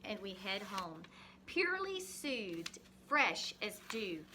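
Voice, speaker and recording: A woman teacher reading a picture book aloud expressively to young children, pausing to ask guiding questions and explain things simply. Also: medium pitch, very animated, sharp tone, slight background noise.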